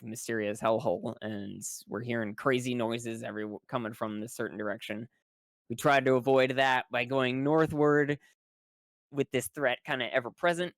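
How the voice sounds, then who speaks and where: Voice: medium pitch, dry recording. Casual, sweary storyteller with a dry, close sound. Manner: swears freely, wry and colloquial. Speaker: tabletop role-playing game player. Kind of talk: casual, rambling spoken story recap.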